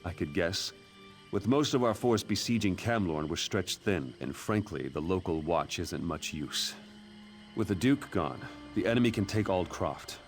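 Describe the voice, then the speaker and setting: A harried court chamberlain in a fantasy game. His voice is fairly low and his phrasing formal, with wry, exasperated scorn. Music is clearly heard behind him. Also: animated, a little slow. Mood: worried, scornful. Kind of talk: fantasy video game dialogue.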